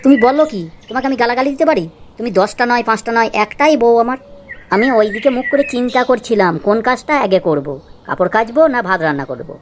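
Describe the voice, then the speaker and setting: A woman's voice: fairly high pitch, expressive, fast talker, a little hiss or hum, close to an everyday voice. A woman playing a henpecked, secretly scheming village husband in an amateur comedy cartoon, wheedling, pleading and muttering to himself. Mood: placating.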